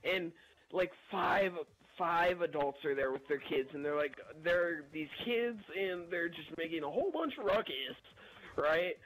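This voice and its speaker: A young man with a fairly high, husky voice rambles through a story over gameplay. He is animated and slangy, talking casually as if to friends. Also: unhurried, poor audio. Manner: animated, slangy, chuckling delivery. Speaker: gameplay video narrator.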